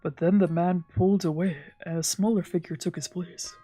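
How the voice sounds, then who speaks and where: A woman streamer reading a visual novel aloud in expressive, dramatic narration with casual asides. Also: on the low side, brisk. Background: game background music.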